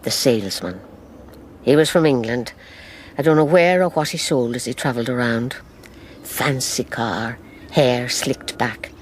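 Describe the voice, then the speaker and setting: A woman's voice: rumbling low, a little slow. An actress playing a wistful older grandmother recalling her sister, in a radio drama with background noise. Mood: reflective, sad.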